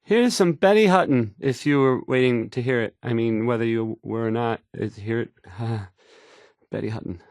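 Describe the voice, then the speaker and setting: A man's voice: mid-range voice, normal speed, darkish tone, no room sound, clean studio sound, natural voice. An actor playing a nervous, awkward young male radio DJ in a video game, stammering and hesitant as he talks. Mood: awkward, flustered. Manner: stammering, hesitant, unsure of himself. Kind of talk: video game character dialogue.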